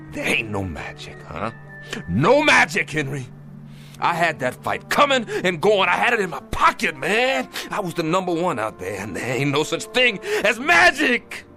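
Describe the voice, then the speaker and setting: An actor playing a battered, middle-aged washed-up boxer in an emotional radio drama, with rough, halting, anguished street talk. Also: male voice, sits high, sharp tone, exaggerated voice. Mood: incredulous, agitated. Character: washed-up, battered boxer, weary, bitter yet tender.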